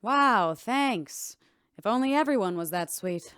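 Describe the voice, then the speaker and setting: An actress playing a guilt-ridden, defensive bartender in a radio drama, with earnest, emotional delivery. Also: female voice, fairly high pitch, lively intonation, unhurried, fairly bright tone, clean tone, dry recording, clean studio sound. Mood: subdued.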